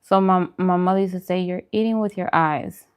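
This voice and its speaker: A woman's voice: fairly low pitch, fairly even intonation, brisk, smooth, lo-fi sound. A woman chatting casually on a livestream as host while she eats, informal and self-deprecating in her manner. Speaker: vlogger hosting a live mukbang stream.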